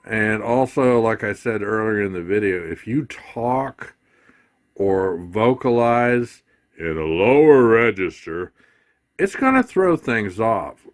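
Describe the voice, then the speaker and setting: A man giving an informal tutorial as a music gear demonstrator, explaining things in a halting, unhurried way. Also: on the low side, animated.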